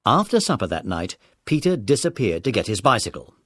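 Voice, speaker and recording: A man's voice: on the low side, big pitch swings, a little fast, fairly bright tone, no echo, studio quality. A man reading scripted narration for a children's audiobook drama.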